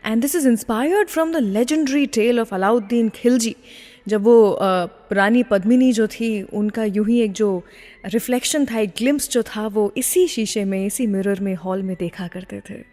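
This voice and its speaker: A woman's voice: a little high, a little slow, mellow. Woman radio host in long reverb. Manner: mixes Hindi with English phrases. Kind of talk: presenting a classic film music radio show.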